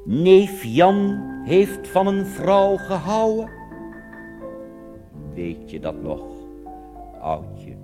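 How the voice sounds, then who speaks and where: Man acting nostalgic old grandfather, slow cabaret song. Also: high voice, sing-song, music in the background, pitched above the performer's own voice, heavily acted. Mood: wistful, tender. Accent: Netherlands Dutch. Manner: slow, drawn-out sung reminiscing. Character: nostalgic old grandfather singing to his wife. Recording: reverberant hall with musical accompaniment.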